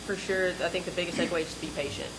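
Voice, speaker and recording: A woman's voice: lowish voice, fairly even intonation, rapid-fire. A young woman on a discussion panel who talks emphatically and projects her voice. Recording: noisy live event recording.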